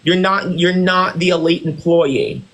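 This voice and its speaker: A man's voice: high voice, steady tone, measured pace, fairly bright tone. A man playing a haughty self-styled boss and CEO on a live stream, talking down to his guest and calling her "dear" and "honey". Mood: smug. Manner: condescending, calls others "dear" and "honey". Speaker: livestream host in character. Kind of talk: improvised live-stream roleplay.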